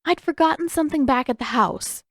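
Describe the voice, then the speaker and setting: A woman's voice: sits high, brisk, fairly bright tone, no echo, voice pushed up. A woman acts out a teenage girl from an audio story, stubborn and sarcastic. She talks in a raised, emphatic, defensive voice, recorded dry and close. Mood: sarcastic, evasive.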